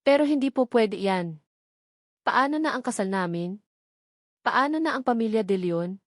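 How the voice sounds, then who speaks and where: A woman's voice: a little high, lively intonation, normal speed, dry recording, clean studio sound, natural voice. A woman with a Filipino accent voicing a jealous, desperate young fiancée in a romance story, agitated and pleading.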